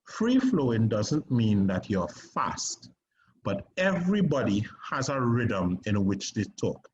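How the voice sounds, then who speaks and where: A man with a Trinidadian accent teaching a stage acting class, lively and often asking "right?". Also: fairly low pitch, slow pace. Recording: slightly dull recording with faint noise.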